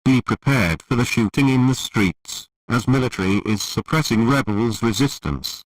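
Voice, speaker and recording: A man's voice: mid-range voice, normal speed, no echo, distant mic, poor audio. A man reading a formal scripted emergency broadcast announcement in a stiff, impersonal, official manner.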